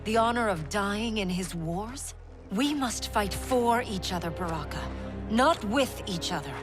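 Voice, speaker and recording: A woman's voice: mid-range voice, expressive, unhurried, over music, close to an everyday voice. A woman playing a noble, resolute warrior princess in a video game, speaking earnestly, firmly and formally. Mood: persuasive. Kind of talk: dramatic video game acting.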